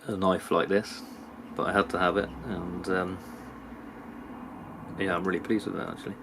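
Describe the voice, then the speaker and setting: A man with a British accent giving a casual, unscripted product review in a halting manner. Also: sits low, flat delivery, vocal fry, noisy background. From United Kingdom. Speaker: knife reviewer. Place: indoors at a workbench.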